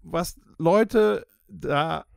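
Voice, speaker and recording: A man's voice: fairly high pitch, drawn-out words, creaky, no echo, clean studio sound. A man hosting a podcast in casual banter, talking in an emphatic, blunt, ranting manner. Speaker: podcast host.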